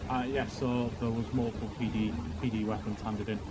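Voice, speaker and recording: A man giving testimony as a police officer, mid-pitched and stumbling, restarting phrases and filling gaps with "um". His voice comes through a noisy game voice chat with a clear hiss behind it. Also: little pitch movement. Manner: halting, repeats words, many fillers. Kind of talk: roleplay courtroom testimony.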